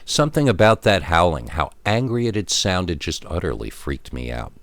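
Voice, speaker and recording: A man narrating a scripted first-person scary story in an expressive, emphatic storytelling manner, drawing out key words. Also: deep voice, moderate pace, dry recording.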